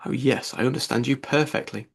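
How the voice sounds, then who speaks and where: A man's voice: medium pitch, fairly quick, creaky. A man voicing a witty, self-possessed, frank young woman in a classic novel audiobook, composed and teasing.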